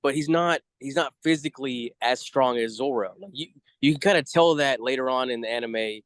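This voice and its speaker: A man co-hosting a casual fan review chat, explaining things in a halting, rambling way. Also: medium pitch, clean tone, no room sound. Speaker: co-host of a review show.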